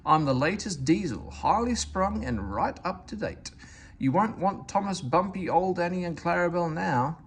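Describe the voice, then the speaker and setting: A man's voice: sits high, expressive, moderate pace, pitched above the performer's own voice. A man voicing a vain, fussy female diesel railcar from a storybook, with an indignant, self-important manner. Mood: smug boasting. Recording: faint background noise.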